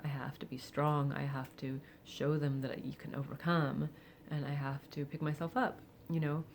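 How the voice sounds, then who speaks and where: A woman of forty speaking candidly and reflectively in a personal vlog, with soft laughs and hesitant fillers. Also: deep voice, level tone, moderate pace, slight background noise. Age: 40s. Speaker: vlogger. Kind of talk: personal confessional monologue.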